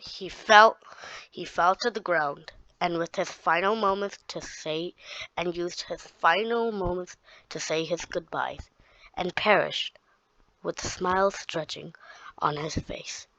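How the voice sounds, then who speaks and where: Slow, halting woman storyteller. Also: lowish voice, bright, forceful tone, no room sound. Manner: halting, with frequent restarts and repeated words. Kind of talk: reading her own story aloud. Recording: dull, dry lo-fi recording.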